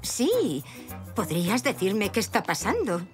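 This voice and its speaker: A woman's voice: medium pitch, huge pitch swings, unhurried, over music. A woman voicing a polite, curious lady zebra customer in a children's cartoon.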